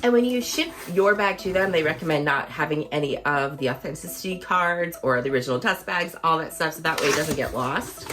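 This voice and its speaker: Slightly hoarse woman chatting casually. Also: sits low, animated, fairly quick, few pauses. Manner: chatty, enthusiastic, conversational. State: slightly hoarse, recovering from losing her voice. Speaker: fashion vlogger. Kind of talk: casual product review vlog.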